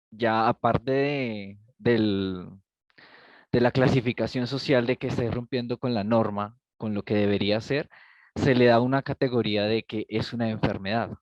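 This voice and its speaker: A man explaining his point in a thoughtful, halting way as an attendee on a video call. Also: lowish voice, darkish tone, no echo, highs cut off. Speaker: online event attendee. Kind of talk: discussion comment in a video call.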